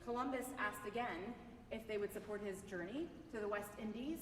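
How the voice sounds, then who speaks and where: Loud-voiced woman in an echoey hall. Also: medium pitch, fairly quick, far from the mic, slight background noise. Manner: chatty and explanatory, with "okay?" asides. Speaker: concert harpist. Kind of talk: spoken introduction at a live recital. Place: large reverberant shrine hall, indoors.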